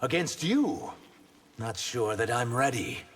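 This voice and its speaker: An actor playing an earnest, guarded reptilian shapeshifter warrior in human form, trading emphatic banter in a video game. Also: male voice, medium pitch, big pitch swings, slow, a bit bright, breathy edge, full character voice. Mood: earnest, wounded. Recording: long reverb, faint background noise.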